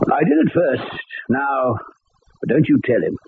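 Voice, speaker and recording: A man's voice: medium pitch, animated, normal speed, lightly acted. An actor voicing an elderly wealthy widower, a proud and fatherly man, in a vintage radio drama. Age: older. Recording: lo-fi old radio broadcast with hiss.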